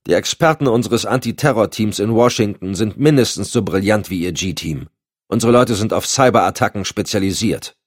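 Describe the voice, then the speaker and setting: A man's voice: on the low side, normal speed, dry recording, studio quality, voice pushed up, lightly acted. A man voicing an indignant security official in an audiobook, blustering and sneering. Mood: haughty. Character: indignant, scornful male homeland security official. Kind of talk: audiobook dialogue.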